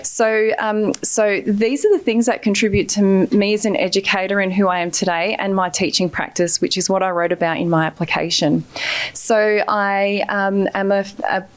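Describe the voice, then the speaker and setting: A woman's voice: medium pitch, steady tone, moderate pace. Earnest Australian woman at a podium. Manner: earnest, grateful, many hesitant fillers. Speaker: award recipient giving acceptance speech. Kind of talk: acceptance speech. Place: ceremony hall.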